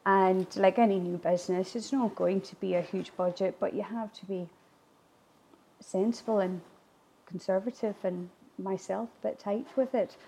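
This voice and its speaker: Woman, Gaelic-first speaker, talkative interview guest with a gravelly edge. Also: medium pitch, fairly even intonation, normal speed, poor audio. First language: Irish Gaelic. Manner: chatty, warm laughs, frequent fillers and restarts. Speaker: guest speaker, distillery attraction manager. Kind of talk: conversational interview answers.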